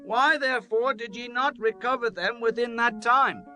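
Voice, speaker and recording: Guy playing stern bible leader, really high sharp voice, formal and declamatory, music behind. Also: moderate pace, heavily acted. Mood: solemn, defiant. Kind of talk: dramatized scripture reading.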